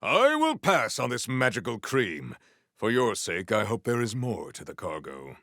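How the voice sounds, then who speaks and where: An actor playing a proud, honorable warrior in a video game, speaking in a stately, theatrical, formal way. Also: male voice, fairly low pitch, huge pitch swings, a little slow, sharp tone, no echo, clean studio sound, exaggerated voice. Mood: solemn, resolute. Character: proud, honorable, formal warrior, adult man, earnest and grandiose. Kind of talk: video game character voice lines.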